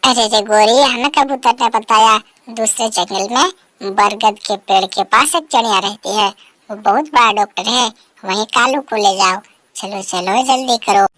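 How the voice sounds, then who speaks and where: A woman's voice: mid-range voice, unhurried, bright, forceful tone, no room sound. A woman voicing a concerned, advice-giving female bird in a cartoon story, urging and repeating her words. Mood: urgent. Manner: urging, repetitive. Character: busybody female bird friend, concerned and advising. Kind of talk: Hindi animated bird story dialogue.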